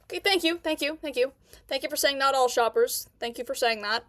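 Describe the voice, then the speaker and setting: A woman's voice: high-pitched, fairly quick, a bit bright, slight background noise. A woman streamer who stutters, reacting with indignant commentary and often repeating herself.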